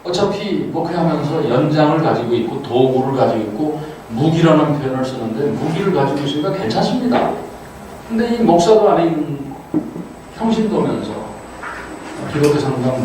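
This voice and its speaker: A man's voice: mid-range voice, recorded from a distance, background noise. Older man lecturing in an echoey hall. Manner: chatty, self-deprecating, quotes others in playful voices. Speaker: guest lecturer, counseling professor. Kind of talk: casual joking lecture. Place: large auditorium.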